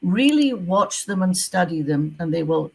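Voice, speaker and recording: A woman's voice: lowish voice, expressive, unhurried, creaky, slightly rough, rough recording. A retired woman from Scotland with a Scottish accent, a former visa officer giving emphatic advice in an interview over a video call. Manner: emphatic, repeats key advice.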